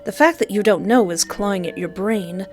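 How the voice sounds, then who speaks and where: A woman reading a visual novel aloud, with playful, animated joking asides between the narration. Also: medium pitch, moderate pace, over music. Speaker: let's play streamer.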